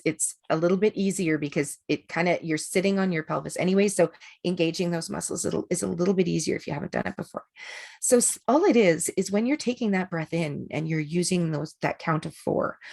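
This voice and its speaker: A woman energy healer leading an online group through breathing and meditation, relaxed and chatty, with frequent fillers and restarts. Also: fairly low pitch, creaky. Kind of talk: guided breathing and meditation instruction.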